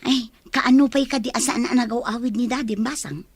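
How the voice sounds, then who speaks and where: High-pitched, nosy aunt played by a woman. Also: steady tone, fairly quick, slightly rough, no room sound. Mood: nosy, exasperated. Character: nosy, outspoken aunt gossiping about family troubles. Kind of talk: Filipino radio comedy-drama acting.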